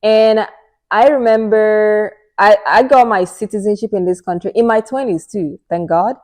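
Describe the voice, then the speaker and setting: A Nigerian woman in her thirties co-hosts a relaxed podcast chat. She speaks earnestly, advising her listeners and often echoing or finishing her partner's phrases. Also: medium pitch, moderate pace. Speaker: podcast co-host. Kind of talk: casual chat.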